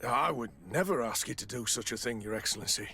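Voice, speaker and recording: An actor playing a smooth-talking, evasive official in a video game, formal and polite but demanding. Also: male voice, medium pitch, lively intonation, a little fast, sharp tone, exaggerated voice. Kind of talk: video game cutscene acting.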